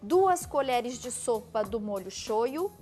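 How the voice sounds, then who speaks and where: A woman's voice: on the high side, lively intonation, slow pace, over music. A woman hosting a TV cooking segment, upbeat and chatty, recapping the ingredients aloud in a studio kitchen. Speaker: TV show host.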